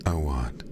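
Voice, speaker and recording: A man playing a seductive, menacing demon lord in an ASMR roleplay, teasing and condescending in a breathy voice with drawn-out pauses. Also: very noisy. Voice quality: very deep, breathy whisper-heavy voice. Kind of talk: ASMR roleplay, close whispered delivery.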